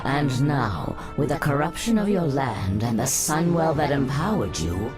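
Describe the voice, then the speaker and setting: A woman's voice: extremely low pitch, big pitch swings, measured pace, exaggerated voice. A woman playing a cunning, seductive serpent sorceress in a fantasy game, speaking in a formal, coaxing manner. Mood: tempting. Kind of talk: fantasy game cutscene dialogue. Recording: dramatic music behind.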